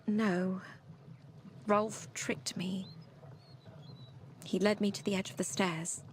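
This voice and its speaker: A woman playing a blind young princess in an audio game, wry and bitter yet vulnerable, with measured delivery. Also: mid-range voice, level tone, slight background noise, natural voice. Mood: confused, small. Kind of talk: dramatic audio game narration and dialogue.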